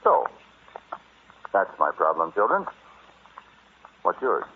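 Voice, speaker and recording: A man's voice: low voice, huge pitch swings, slow, heavily acted. An actor in an old-time radio drama playing a flippant, stubborn explorer husband who hides his fear, telling his tale in a wry, conversational way. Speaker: narrator and lead actor. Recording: muffled, noisy vintage radio recording.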